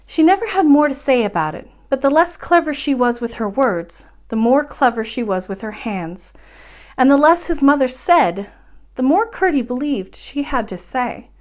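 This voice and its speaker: Woman with a highish voice reading a kids bedtime book, expressive, stresses words for drama. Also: moderate pace. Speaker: storyteller reading a children's book aloud.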